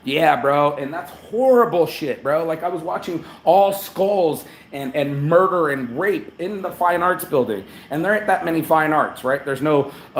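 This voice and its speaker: A man's voice: a little high, fairly bright tone, a little hiss or hum. Blunt, profane man ranting on a vlog. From New Orleans. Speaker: vlogger. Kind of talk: ranting live travel update. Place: hotel room. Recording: echoey room with faint ambience.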